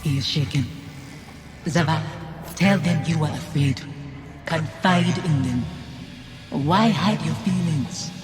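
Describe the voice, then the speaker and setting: A ghostly lost loved one in a video game drama. She is reproachful and accusing, phrasing everything in a measured, poetic way over prominent music. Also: deep voice.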